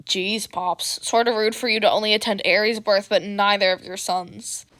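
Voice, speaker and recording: A woman's voice: mid-range voice, fairly even intonation, moderate pace, a bit bright, no room sound, lightly acted. A woman voicing a sardonic teenage son with a lazy drawl in an audio fan drama. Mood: lazy sarcasm.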